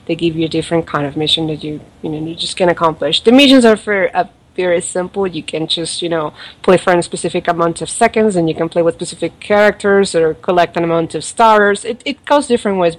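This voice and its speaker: Chatty woman with a slightly low voice. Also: low-quality recording. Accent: non-native accent, origin not stated. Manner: rambling and chatty, with lots of fillers and laughs. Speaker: podcast co-host reviewing a mobile game. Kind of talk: casual chat.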